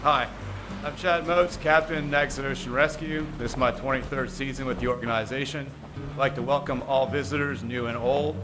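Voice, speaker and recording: A man's voice: mid-range voice, steady tone, normal speed. Man giving a friendly video greeting over music. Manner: upbeat, friendly public greeting. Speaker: ocean rescue captain. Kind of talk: recorded video introduction. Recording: video clip with music underneath.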